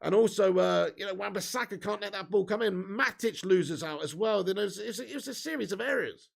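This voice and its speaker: A man with a hoarse, fading voice, giving sweary, excitable live fan commentary while reading chat. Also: high voice, fairly quick. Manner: swearing, excitable, shouts at chances. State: losing his voice. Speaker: fan watch-along streamer.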